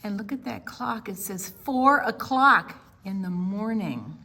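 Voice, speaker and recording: An older woman reading aloud to small children at storytime, theatrical, stretching words and dropping into hushed asides. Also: medium pitch, animated, measured pace, breathy edge. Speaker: children's library storytime reader. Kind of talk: animated read-aloud narration for small children.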